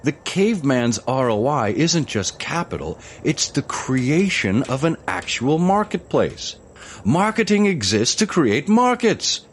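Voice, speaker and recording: An actor playing an excited visionary who lectures his boss, theatrical and declamatory, in an audio musical drama. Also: medium pitch, measured pace, a little hiss or hum. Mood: earnest lecturing.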